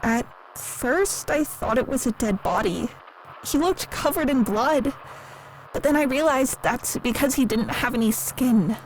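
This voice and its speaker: A meek, lonely woman giving an interview in an audio drama. Her voice sits high and she speaks hesitantly, earnest and naive. Clear background noise runs under the recording. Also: measured pace, low-quality recording. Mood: hushed, recounting. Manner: hesitant, soft-spoken, earnest. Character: timid, lonely, naive female museum worker defending a monster.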